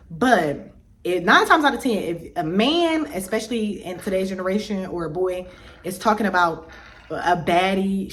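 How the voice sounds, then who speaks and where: A woman, giving her opinions in a casual vlog and talking in a loose, chatty way with many fillers and restarts. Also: fairly low pitch. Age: 20s. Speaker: vlogger.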